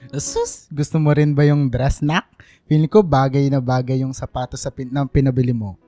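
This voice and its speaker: A man's voice: mid-range voice, steady tone, moderate pace, warm tone, dry recording, soft background music, close to an everyday voice. A man playing a doting, teasing middle-aged father in a Filipino radio drama, affectionate and full of exclamations. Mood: fond teasing.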